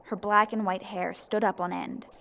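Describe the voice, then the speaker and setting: A woman's voice: medium pitch, level tone, moderate pace, bright, forceful tone. A woman playing a young female zombie who narrates her own story in an earnest, plain audio drama style. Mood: reflective. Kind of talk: first-person scripted narration.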